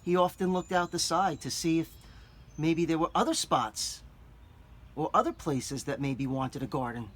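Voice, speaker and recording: A man reading a picture book aloud to children, gently stopping to explain words and show things. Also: fairly high pitch, normal speed, a little hiss or hum. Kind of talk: children's read-aloud with asides.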